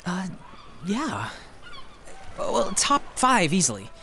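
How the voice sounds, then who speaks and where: An actor playing a shy, smitten male friend in an audio drama, halting and awkwardly earnest. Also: high voice, huge pitch swings, sharp tone, a little hiss or hum, full character voice. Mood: flustered, smitten. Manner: halting, tentative.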